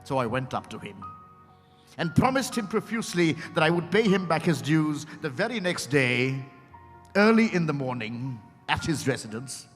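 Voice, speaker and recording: A man's voice: on the high side, sing-song. Man acting reflective storyteller, echoey hall, music behind. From India. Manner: measured, theatrical, short weighted phrases. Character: reflective storyteller recounting his own encounter. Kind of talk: dramatized first-person story narration. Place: event hall at a hotel.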